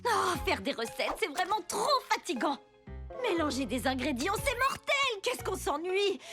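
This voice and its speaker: A scheming, lazy bandit baker from a children's cartoon. The delivery is theatrical, sliding between grumbles and gloating, with music playing behind it. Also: female voice, extremely high pitch, very animated, moderate pace. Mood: grumbling, scheming. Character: lazy, scheming pastry bandit craving effortless success. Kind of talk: cartoon dub acting.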